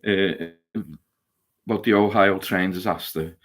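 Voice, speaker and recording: A man's voice: low-pitched, fairly even intonation, unhurried, fairly bright tone, slight background noise. A man co-hosting a casual live stream, talking hesitantly about news stories with lots of uhs and restarts. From Lancashire, England.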